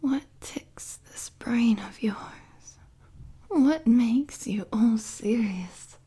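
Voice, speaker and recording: A woman playing an unhinged, flirtatious female supervillain prisoner in a roleplay audio drama, with a breathy, husky, whispery voice and a teasing, mock-sweet manner. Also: fairly high pitch, lively intonation, warm tone, heavily acted. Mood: obsessive, fascinated. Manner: slow, teasing, sultry, mock-sweet taunting.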